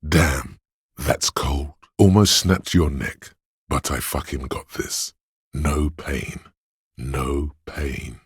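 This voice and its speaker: A man's voice: no room sound, studio quality, exaggerated voice. A man voicing a video game's inner voice of pain, a grim, sardonic, goading presence narrating with brooding deliberation. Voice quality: deep, gravelly, husky. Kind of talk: dramatic second-person game narration.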